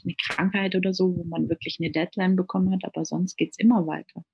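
A woman's voice: on the low side, little pitch movement, a little fast, gravelly, dull audio, a little hiss or hum. A woman from Germany, a native speaker of German and Italian, talking earnestly as an interview guest, often repeating words while she searches for the next one. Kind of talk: casual conversational interview.

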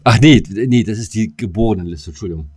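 A man's voice: lowish voice, quick pace, darkish tone, slightly rough, no room sound. A middle-aged man, chatting casually and colloquially as a podcast co-host, rambling in a matey way. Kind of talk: casual chat.

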